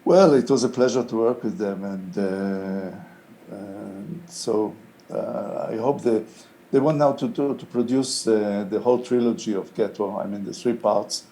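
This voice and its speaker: An older man with a low, mellow voice speaks English as a second language, his first being Hebrew. He talks slowly and thoughtfully, often pausing on fillers as he gathers his words. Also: rough recording. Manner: thoughtful, halting, many "uh" fillers. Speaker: interviewed playwright.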